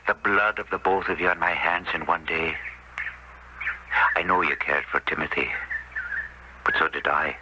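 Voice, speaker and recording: An actor playing a remorseful, roguishly earnest gambler and card sharp in a radio drama. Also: male voice, deep voice, fairly even intonation, normal speed, pauses often, lightly acted. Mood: earnest, defensive. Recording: noisy 1970s radio drama recording.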